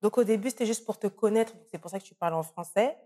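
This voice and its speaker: A woman's voice: mid-range voice, fast, mellow. A woman hosting an interview, asking her questions in a playful, teasing way. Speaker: interviewer, host.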